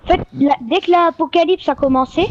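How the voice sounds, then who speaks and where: A high-pitched woman chatters through an in-character tale, piling one clause onto the next in a loose, rambling way. She talks freely and keeps the story going. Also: brisk, highs cut off, a little hiss or hum. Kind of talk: in-character game roleplay.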